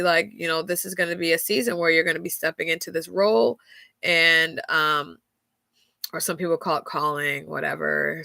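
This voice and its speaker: Woman podcaster, stuffy nose, bright voice, dry close sound. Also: on the low side, vocal fry, no room sound. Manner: candid, chatty, frequent fillers and laughs. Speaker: podcast host. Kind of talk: casual faith-centered chat.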